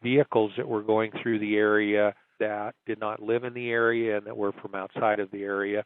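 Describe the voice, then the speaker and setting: A man answers formally in an interview with very little pitch movement. His lowish voice comes through a narrow, phone-like line. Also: little pitch movement, moderate pace, clean tone. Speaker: police agency director.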